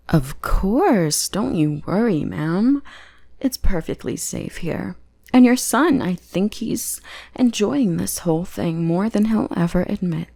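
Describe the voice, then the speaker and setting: A woman's voice: medium pitch, warm tone, exaggerated voice. A young woman playing a teasing, possessive goth bully who is secretly smitten, in an ASMR roleplay. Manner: playful, taunting, drops into breathy whispers.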